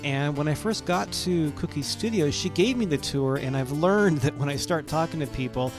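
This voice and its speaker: A man hosting a podcast, giving a relaxed scripted sign-off and plugs. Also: fairly high pitch, normal speed. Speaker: podcast host. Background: guitar music.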